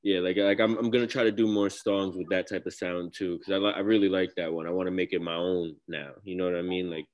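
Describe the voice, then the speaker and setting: A young man from Connecticut with a deep baritone voice, talking casually as a guest rapper in an interview, with loose, slangy speech full of "like" fillers. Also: fairly even intonation, fast, few pauses, dull audio. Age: young adult.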